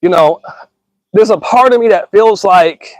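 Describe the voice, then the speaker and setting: A man in his thirties with a notably high voice, talking to his listeners in an earnest, rambling way. He slips into slang, restarts his sentences and calls everyone bro and y'all. The sound is close and dry, with no room around it. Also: unhurried, no echo, low-quality recording. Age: 30s. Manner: rambling with frequent restarts and stammers. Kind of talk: casual reflective monologue.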